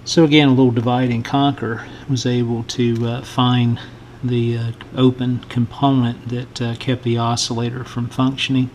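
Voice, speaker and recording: A middle-aged man talks through a repair in an informal, halting way, thinking aloud. His mid-pitched voice stays very even and flat, with clear noise behind it. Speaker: host of a radio repair channel. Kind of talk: informal hands-on repair walkthrough. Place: indoor electronics workbench.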